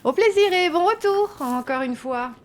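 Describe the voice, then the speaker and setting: A woman's voice: extremely high pitch, expressive, normal speed, a little hiss or hum. A woman playing a brusque, unimpressed host of unwanted visitors in an audio comedy, blunt and dismissive. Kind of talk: comedic amateur audio drama in French.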